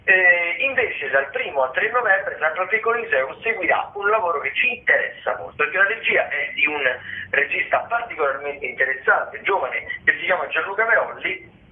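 Higher-voiced man on tinny phone-like radio. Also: expressive, moderate pace. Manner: hesitant, searching for words mid-phrase. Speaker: radio host presenting theatre listings. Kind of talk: semi-improvised radio arts commentary. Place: radio broadcast.

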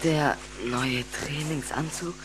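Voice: mid-range voice, steady tone, slow pace, natural voice. An actor playing a young schoolboy in a children's radio play, hesitant, stammering and evasive. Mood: evasive, sheepish. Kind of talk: German children's audio drama acting.

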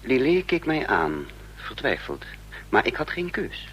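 An actor playing a troubled man in love who tells his own espionage story in a measured, dramatic radio drama style. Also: mid-range voice, huge pitch swings, fairly bright tone. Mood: grave, desperate. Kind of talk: Dutch radio drama narration, literary first person. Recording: faint noise and hum, old radio recording.

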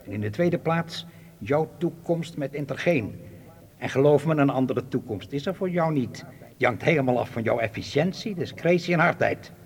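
An actor playing a cold, ruthless spy boss in a radio drama, with a commanding, menacing, deliberate delivery. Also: male voice, fairly high pitch, animated, creaky. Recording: hall-like long reverb.

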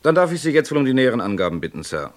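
An actor playing a brisk, formal British police desk officer with clipped official questioning in a 1960s German radio crime drama. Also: male voice, medium pitch, very animated, natural voice. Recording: noisy, clicking old radio drama tape.

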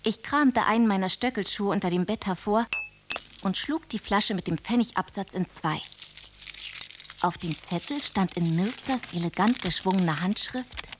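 A woman's voice: mid-range voice, moderate pace, noisy background, close to an everyday voice. An actress playing a young noblewoman who narrates her own adventure in a scripted radio drama. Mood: confiding. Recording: music behind.

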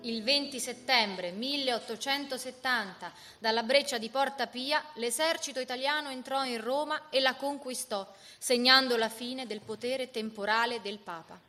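Forceful higher-voiced woman narrator, reverberant hall. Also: normal speed, hardly any pauses. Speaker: stage narrator. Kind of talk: dramatic scripted historical narration.

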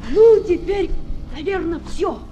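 A woman's voice: very high-pitched, lively intonation, unhurried. A woman playing a curious, timid little boy in a children's audio play. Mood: hesitant curiosity. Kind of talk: children's radio play acting. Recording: faintly noisy, muffled old flexi-disc.

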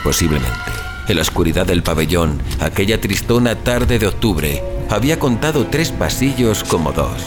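Low-voiced man narrating horror, very expressive. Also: normal speed. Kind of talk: dramatic scripted horror story narration. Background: loud music.

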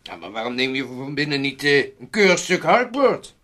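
A man's voice: medium pitch, sing-song, normal speed, a bit bright. An actor in a radio drama playing a jovial, practical older man who chats and advises in a familiar, teasing way. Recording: noisy old radio recording.

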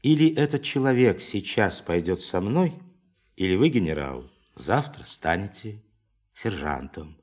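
An actor playing a forceful science official who addresses a meeting formally and emphatically in a radio play. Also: male voice, low voice, very animated, a little slow, full character voice. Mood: brisk, insistent.

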